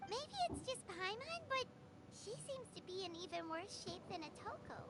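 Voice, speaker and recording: A woman voicing a tiny, candid, childlike fairy companion with a squeaky voice in a video game. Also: big pitch swings, normal speed. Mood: puzzled, concerned. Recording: loud background noise under voice.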